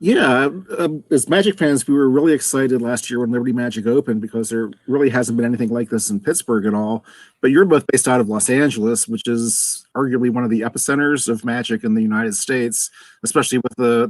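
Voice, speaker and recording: A man's voice: medium pitch, fairly quick, no room sound. A man, a magic fan, asking the guests a friendly, somewhat wordy question over a video call. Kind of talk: live-stream interview question.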